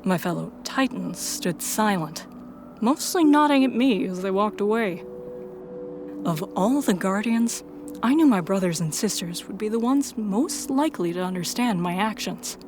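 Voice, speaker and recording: A woman's voice: mid-range voice, lively intonation, moderate pace, darkish tone, faint music behind. A woman reading scripted first-person story narration as the narrator and protagonist of an audio drama.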